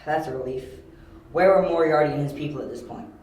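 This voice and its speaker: A woman playing a sharp, curious young detective in an amateur audio drama, terse and self-assured. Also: deep voice, fast, close to an everyday voice. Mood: assured, businesslike. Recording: roomy, echoey home recording.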